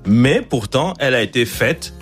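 A man's voice: medium pitch, expressive, normal speed. A male host chats casually as he interviews a guest. His voice sits in the middle range, with faint music underneath.

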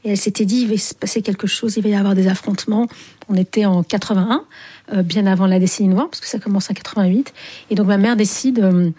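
Reflective woman with a mellow voice. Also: medium pitch, steady tone, husky. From Algeria. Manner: thoughtful, pauses often with hesitant fillers. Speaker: writer, interview guest. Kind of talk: reflective personal storytelling in an interview.

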